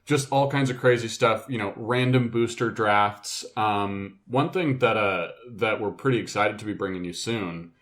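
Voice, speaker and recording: A man's voice: fairly low pitch, moderate pace, few pauses, a bit bright. A man co-hosting a casual group podcast, chatting informally with slang and swearing. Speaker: podcast co-host.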